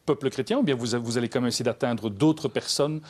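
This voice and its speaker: A man's voice: mid-range voice, brisk, darkish tone. Formal male TV magazine host. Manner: formal, inquiring. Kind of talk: TV interview hosting.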